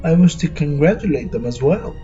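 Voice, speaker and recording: Man acting a mellow, formal ship's commander. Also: fairly high pitch, animated, fairly quick, close to an everyday voice. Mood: stately. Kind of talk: fan audio drama acting. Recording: dull lo-fi sound, faint music.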